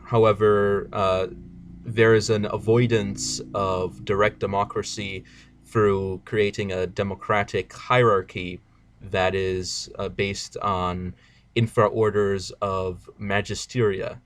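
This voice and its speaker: Earnest German man explaining slowly. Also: lowish voice, little pitch movement, no room sound. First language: not English. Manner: earnest, explains at length with hesitations. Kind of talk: casual chat.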